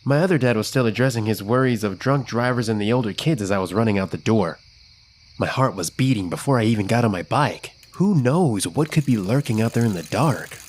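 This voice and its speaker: A man's voice: on the low side, animated, fairly quick, a little mellow, slight background noise, close to an everyday voice. A man playing an adventurous, kind child who narrates a scripted children's story expressively. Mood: wondering, narrating. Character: adventurous, imaginative, kind child telling a Halloween tale. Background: music.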